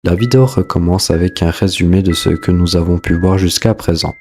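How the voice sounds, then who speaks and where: Man, very low pitch, scripted explainer narration, video host, clear background music. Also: steady tone, a little fast.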